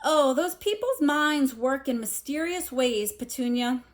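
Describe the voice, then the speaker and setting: A woman voicing a gruff, flustered and stubborn middle-aged uncle in a storybook, speaking with blustering emphasis. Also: sits high, animated, a little slow, pitched above the performer's own voice. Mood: stubborn confidence.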